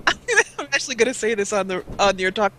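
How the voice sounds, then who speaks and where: A woman's voice: mid-range voice, very animated, moderate pace, bright, forceful tone. A young woman, a guest on an interview show, chatting casually with lots of fillers and restarts. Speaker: guest, let's player.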